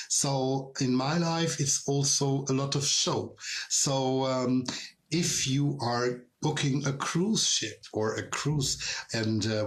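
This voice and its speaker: A middle-aged man with a German accent chats loosely in a casual online Q&A, his voice sitting in an ordinary mid range. He takes his time, drawing out words and circling back with ums and restarts. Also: medium pitch. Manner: chatty, rambling, frequent ums and restarts. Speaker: drag performer and tour guide.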